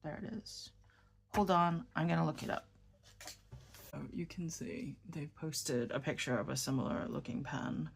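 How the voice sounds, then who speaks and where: Low, slow, gravelly-voiced woman from New York. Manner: rambling, self-correcting, murmurs while writing. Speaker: fountain pen vlogger. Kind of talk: casual chat while writing.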